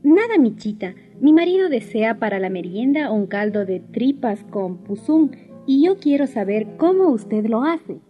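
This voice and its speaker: An actress playing a naive, boastful young newlywed housewife in a radio drama. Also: female voice, on the high side, very animated, a little slow. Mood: sweet, asking. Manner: sweet, wheedling, then smugly dismissive. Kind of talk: 1990s radio drama acting. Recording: dull lo-fi radio recording, music behind.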